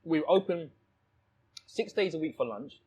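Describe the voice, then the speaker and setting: A man's voice: on the high side, animated, unhurried, dark tone, slightly rough. A man telling stories casually in conversation, rambling and repeating phrases, with frequent "you know". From born in Thailand, lives in London. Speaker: restaurant owner, interview guest.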